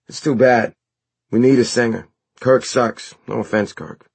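A man's voice: mid-range voice, fairly even intonation, unhurried, gravelly, a little mellow, husky, voice pushed up. A man voicing a blunt, teasing young bandmate in an audiobook, slangy in manner. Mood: offhand, blunt.

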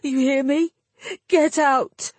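A woman voicing a desperate, lovesick lord in an audiobook, pleading in a wounded, breathy way. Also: high-pitched, fairly even intonation, slow, a little mellow, no room sound, pitched above the performer's own voice. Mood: wounded pride. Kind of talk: audiobook character acting.

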